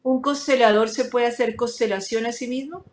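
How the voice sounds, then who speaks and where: A woman's voice: fairly high pitch, steady tone, normal speed. A woman hosting an interview, asking her guest curious, open questions. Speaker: host.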